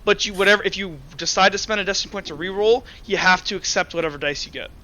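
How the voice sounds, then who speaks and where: High, bright-voiced man running a game. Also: fast talker, dull audio. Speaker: game master. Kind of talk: improvised narration and rulings for a tabletop roleplaying game.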